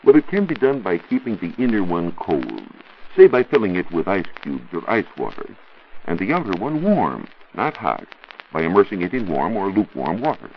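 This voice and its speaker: Deep, expressive 1940s American radio science man. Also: moderate pace. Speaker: science reporter answering listener questions. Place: radio studio. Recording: muffled, noisy 1940s radio recording.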